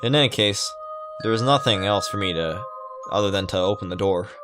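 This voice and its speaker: A man hosting a gaming video, reading a visual novel aloud with casual, halting, rambling commentary. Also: fairly low pitch, over music.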